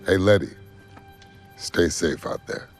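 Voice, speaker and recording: A man's voice: deep voice, slow pace. A man playing a tough, protective street racer leader in a game cutscene, speaking in terse, laconic lines. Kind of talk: video game cutscene acting. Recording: dramatic music behind the voice.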